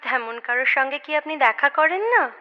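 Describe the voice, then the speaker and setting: Devoted young female reader in radio play; high-pitched, hesitant, earnest, heavy echoing tail. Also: expressive, normal speed, dull audio. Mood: tentative, hopeful. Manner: hesitant, earnest, lingering on words. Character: lonely, intense young woman, devoted reader. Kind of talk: Bengali audio drama acting.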